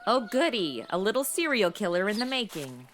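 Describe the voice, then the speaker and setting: A woman's voice: mid-range voice, big pitch swings, moderate pace, a little hiss or hum, natural voice. An actress playing a spoiled modern city woman stuck in the Old West, sarcastic, blunt and complaining, in a comedy audio drama. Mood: disgusted, snarky.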